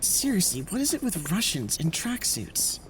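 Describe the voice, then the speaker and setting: A man's voice: high voice, very animated, moderate pace, a crowd in the background, low-quality recording. An actor playing an anxious male scientist on the run, paranoid and rambling, stammering when flustered. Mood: nervous. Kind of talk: amateur audio drama acting.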